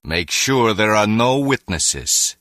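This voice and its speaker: A man's voice: lowish voice, animated, takes it slowly, fairly bright tone, no echo, clean studio sound. A man playing a ruthless, menacing villain crime boss in a video game, giving commands. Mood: cold, commanding.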